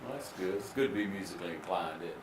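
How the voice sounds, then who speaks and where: A man's voice: low-pitched, expressive, racing, reverberant, noisy background. A man hosting a local TV interview, asking friendly, folksy questions. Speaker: TV show host.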